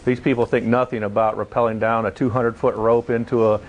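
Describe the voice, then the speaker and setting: A man speaking as an interview guest, in a conversational, somewhat halting way. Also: medium pitch, level tone, dull audio. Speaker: volunteer caving project leader, interview guest.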